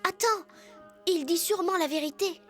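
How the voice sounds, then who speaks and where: A woman's voice: very high voice, normal speed, fairly bright tone, soft background music. A woman voicing a sensible, caring young female animal companion in a children's cartoon, earnest and practical. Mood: urging. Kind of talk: animated children's film dub.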